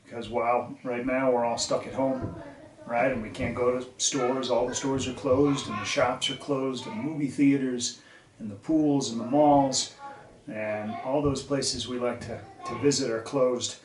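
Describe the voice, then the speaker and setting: A man's voice: medium pitch, steady tone, measured pace, low-quality recording. A man reading a children's story aloud and talking to young listeners in a simple, explanatory way. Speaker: teacher reading a picture book aloud to children.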